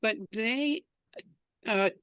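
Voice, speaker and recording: A woman's voice: a little high, extremely slow, a bit bright, clear, resonant tone. An American woman being interviewed about her work, telling stories in a conversational way as a radio drama creator. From United States.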